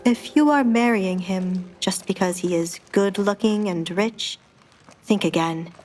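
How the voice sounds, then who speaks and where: A woman's voice: medium pitch, a little slow, a little mellow, faint music behind, close to an everyday voice. An audio drama priest, wary and well-meaning , acted out by a woman. He talks in a dry mutter with a reproachful edge, as an exasperated man of faith would. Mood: hushed, earnest.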